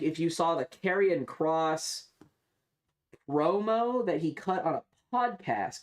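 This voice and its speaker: A man streaming casual commentary, rambling and halting as he goes, with some swearing. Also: fairly high pitch.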